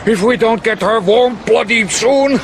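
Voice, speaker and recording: An actor playing a tough, blunt seaman in an audio drama, giving terse, gruff commands to fellow survivors. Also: very high voice, measured pace, exaggerated voice. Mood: brusque. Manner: terse, commanding, swearing, clipped phrases. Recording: very noisy, with sea disaster sound effects.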